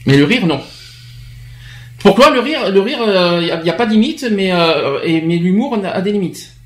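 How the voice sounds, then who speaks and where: High-voiced hesitant male host, echoey room. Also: big pitch swings, normal speed. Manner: hesitant, repeats words while searching. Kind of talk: casual studio discussion.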